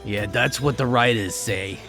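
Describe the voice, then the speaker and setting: An actor playing a grumpy, put-upon bartender in an audio drama, dry and grudging. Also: male voice, medium pitch, measured pace, pushed and bright, soft background music.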